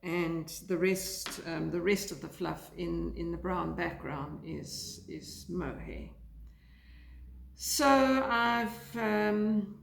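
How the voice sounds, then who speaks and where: Croaky woman chatting in an echoey lounge. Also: on the low side, takes it slowly, a little hiss or hum. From South Africa. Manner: rambling, hesitant, many fillers. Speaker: knitting vlogger. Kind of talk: casual chat to camera. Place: lounge by a fireplace, indoors. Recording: echoey room.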